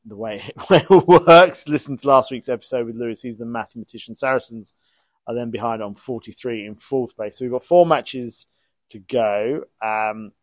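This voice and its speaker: A man's voice: medium pitch, no echo. A man hosting a casual podcast interview, chatty and rambling, thinking aloud as he goes. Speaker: club podcast host.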